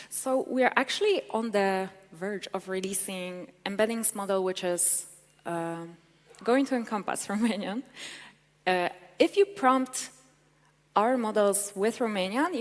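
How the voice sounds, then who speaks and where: A young woman presents at a tech conference in an eager, chatty way. Her voice sits at a middle pitch. The recording carries the long ring of a large hall. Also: moderate pace. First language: Polish. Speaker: conference speaker.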